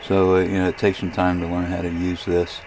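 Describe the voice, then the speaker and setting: A man casually narrating a software demonstration as a radio hobbyist, thinking aloud in a hesitant, rambling way with a gravelly voice. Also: low voice, completely flat delivery, fairly quick, slight background noise.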